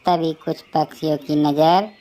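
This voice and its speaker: A woman's voice: low-pitched, fairly even intonation, moderate pace, dark tone. A woman narrating a scripted children's cartoon story.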